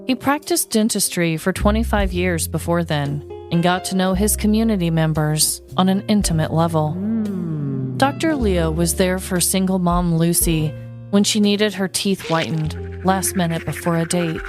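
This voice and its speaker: A woman's voice: on the low side, normal speed, a little mellow. Woman podcaster telling stories over music. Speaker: podcast host. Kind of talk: casual chat and playful scripted true-crime storytelling. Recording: music bed behind the voice.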